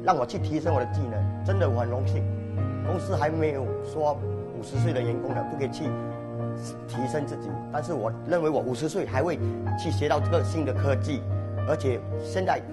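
Quick fifty-year-old man over music. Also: fairly high pitch. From Singapore. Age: 50s. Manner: plain, earnest talk. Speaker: factory worker. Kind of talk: documentary interview. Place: factory storeroom with shelves.

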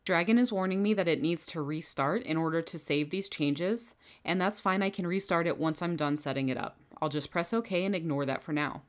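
A woman with a low-pitched voice guides the listener through a software setup one click at a time. Her delivery is clear and instructional, recorded close on a desk microphone. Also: fairly quick, few pauses. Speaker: software trainer. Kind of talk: screen-recorded software tutorial. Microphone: headset or bench microphone.

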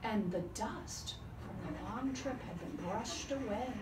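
Casting: female storyteller, unhurried and expressive, highish voice, recorded in an echoey room. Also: loud music behind the voice. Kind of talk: dramatic, expressive folk tale narration. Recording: echoey room, distant microphone. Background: live cello playing.

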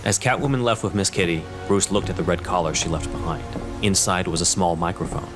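A man's voice: low-pitched, brisk. A man narrating a scripted audio drama as storyteller. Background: music.

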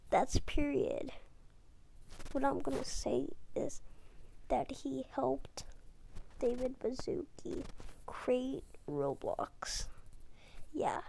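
A young girl talking in a hushed, often whispered voice late at night at home, with halting restarts. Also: high-pitched, vocal fry, rough recording. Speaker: video uploader. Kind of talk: casual talk over a screen recording. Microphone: tablet mic.

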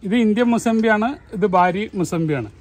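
A man from Kerala giving a casual tour of his plant nursery, talking in an animated, argumentative way and asking rhetorical questions. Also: sits high, a little fast. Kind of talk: casual garden tour talk.

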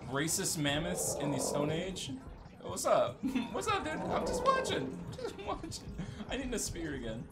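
A man giving casual, sarcastic and excitable gameplay commentary as the host of a gaming video. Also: unhurried, gravelly, over loud music. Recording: noisy low-level game recording.

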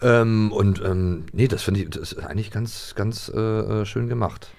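A man's voice: on the low side, normal speed, vocal fry, darkish tone. A man in his thirties chatting casually as a podcast co-host. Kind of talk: casual chat.

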